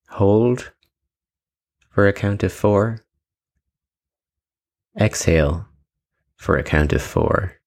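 A man leading a guided meditation with calm, measured instructions and long pauses between phrases. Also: low-pitched, vocal fry.

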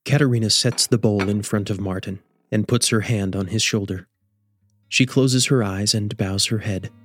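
A man hosting and narrating a radio drama as a measured, scripted storyteller. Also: fairly low pitch, fairly even intonation, fairly bright tone, no room sound. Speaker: host and narrator.

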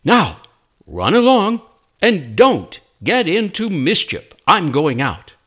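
A man voicing a mother rabbit who gently cautions her young children in a deliberate storybook manner. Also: high-pitched, big pitch swings, breathy edge, voice pushed up, heavily acted. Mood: motherly warning.

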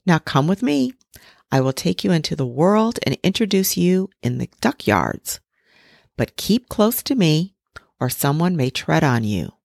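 Woman doing a motherly duck, lifted a little above her low voice; dry close sound. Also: very animated, a little slow, gravelly, dry recording, full character voice. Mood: proud. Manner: fussing, gently instructive. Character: mother duck, weary but proud and protective. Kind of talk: storybook reading.

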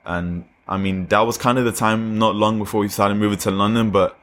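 A man's voice: on the low side, fairly even intonation, fairly quick, fairly bright tone. A young man from Australia and Nigeria, a skater guest chatting loosely with slang and lots of fillers. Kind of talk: casual chat.

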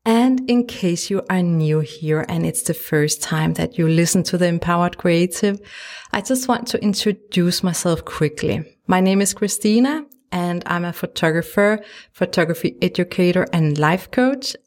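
A woman from Denmark with a Danish accent, hosting her own podcast from a small home studio in a gentle, encouraging way. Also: on the low side, normal speed. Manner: gentle, encouraging, laughs lightly now and then. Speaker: podcast host and life coach. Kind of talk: solo podcast monologue. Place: small home studio lined with sound panels.

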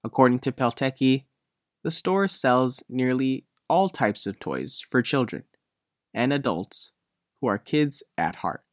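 Lively-pitched man reading a news feature. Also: a little slow, no echo, studio quality. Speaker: reporter. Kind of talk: scripted news feature narration.

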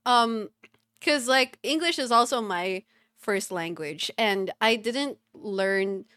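A woman from the Philippines hosting a podcast, explaining her views in measured, thoughtful casual chat. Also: on the high side, fairly bright tone, clear, resonant tone, dry recording, clean studio sound. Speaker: podcast host.

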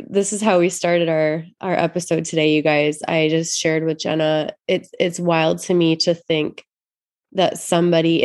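Woman, stuffy, congested, low-pitched, dry recording, casual podcast chat. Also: darkish tone, dry recording. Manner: chatty, rambling with restarts. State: stuffy nose, just over an illness. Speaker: podcast co-host.

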